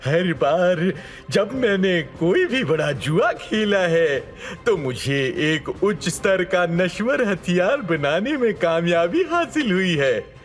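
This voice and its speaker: A man voicing a crazed, obsessive sorcerer who forges weapons, with drawn-out dramatic delivery in an audio drama. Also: high-pitched, very animated, voice pushed up, full character voice. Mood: scheming, excited. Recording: music behind the voice. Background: background music.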